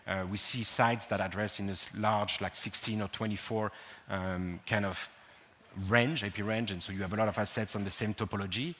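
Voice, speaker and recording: A man's voice: lowish voice, fairly even intonation, moderate pace, fairly bright tone, slight background noise. A man from France with a French accent, giving a technical conference talk with frequent ums and restarts. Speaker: conference speaker. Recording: long hall reverb.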